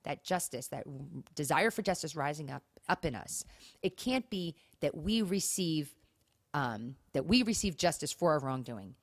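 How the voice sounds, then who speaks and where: A woman's voice: sits low, animated, moderate pace, dry recording, clean studio sound. A woman co-hosting a podcast, talking casually and earnestly, with emphatic points and rhetorical questions. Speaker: podcast co-host. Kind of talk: casual conversational talk on marriage and faith.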